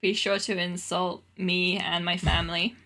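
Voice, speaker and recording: A woman's voice: lowish voice, level tone, a little slow, sharp tone. A woman hosting a podcast in casual banter, with a jokey, self-mocking manner. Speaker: podcast host.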